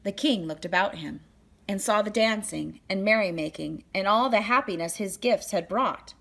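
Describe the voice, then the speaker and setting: A woman reading a children's picture book aloud as narrator, with deliberate, expressive phrasing. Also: medium pitch, gravelly. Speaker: storybook reader.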